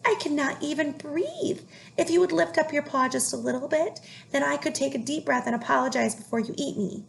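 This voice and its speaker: A woman voicing an excited, chirpy little male squirrel with a high, sing-song chant. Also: brisk, creaky, a little hiss or hum, heavily acted, rough recording. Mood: pleading.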